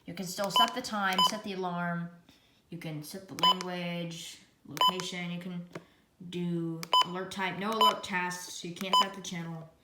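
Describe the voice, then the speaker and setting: An echoey room recording with loud noise carries a woman's slightly low voice. She demonstrates a gadget casually as a reviewer. Her delivery rambles, moving through items one at a time. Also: fairly even intonation, a little slow. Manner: rambling, reading menu items aloud one by one. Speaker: product reviewer.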